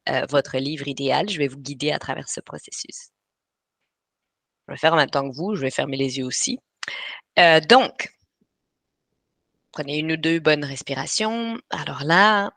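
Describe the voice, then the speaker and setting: A woman in her thirties with a Belgian French accent, hosting a webinar for aspiring authors. She talks in quick bursts with lots of fillers and restarts, and goes soft and slow when she guides a visualization. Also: sits low, no echo. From Belgium (Brussels). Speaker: writing coach and webinar host. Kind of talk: live webinar presentation. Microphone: video call.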